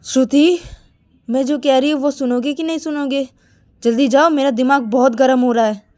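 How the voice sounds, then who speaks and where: A bossy young bride in an audio story , acted out by a woman narrator. Her voice sits high and pushes forward with impatient, scolding questions. She is quick to temper and expects to be obeyed. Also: very animated, dark tone. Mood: angry, bossy. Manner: demanding, scolding, rapid questioning.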